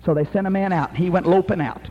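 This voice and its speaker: A man preaching a sermon in an emphatic, rhythmic style that rises to shouted peaks. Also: high voice, quick pace, lo-fi sound.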